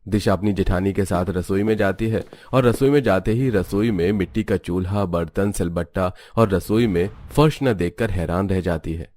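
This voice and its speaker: A man's voice: deep voice, normal speed, few pauses, darkish tone. A man reading scripted narration for a family cartoon story.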